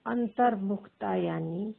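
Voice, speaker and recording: A woman leads a guided meditation as a teacher, pausing between short, measured phrases in a husky, creaky voice. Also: mid-range voice. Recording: thin phone-like sound.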